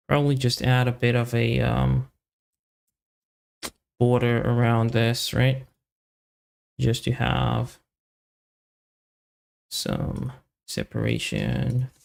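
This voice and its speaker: Male developer livestreamer, casual think-aloud delivery, very slow and fragmented, lots of deep vocal fry, mid-pitched. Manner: halting, muttering to himself in short fragments. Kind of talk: casual live coding commentary, thinking aloud.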